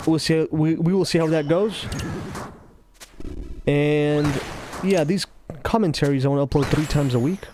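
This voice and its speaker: A man's voice: on the high side, darkish tone, a little hiss or hum. A young man with a slight Russian accent, rambling through casual gaming commentary and often breaking off mid-thought. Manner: talkative, meandering, self-interrupting. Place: office.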